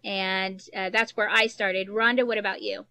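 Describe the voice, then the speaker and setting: Bright, clear-voiced woman speaking informally. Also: mid-range voice, flat delivery, normal speed. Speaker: author and panel moderator. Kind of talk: informal panel presentation to an audience.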